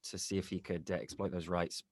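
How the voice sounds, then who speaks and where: Deep-voiced man, halting, very dry recording. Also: flat delivery, a little mellow, dry recording. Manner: halting, searching for words. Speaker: podcast guest, actor and producer. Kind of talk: casual interview reminiscence.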